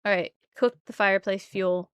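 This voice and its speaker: Woman, let's play host, mid-pitched, casual, chatty, self-deprecating, dry close recording. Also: fairly even intonation, normal speed, smooth, no echo. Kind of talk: casual gameplay commentary.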